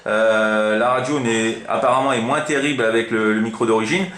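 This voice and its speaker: A man's voice: mid-range voice, steady tone, a little fast, fairly bright tone. A man chatting casually as an amateur CB radio operator, rambling informally with frequent tag words. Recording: roomy, echoing room sound.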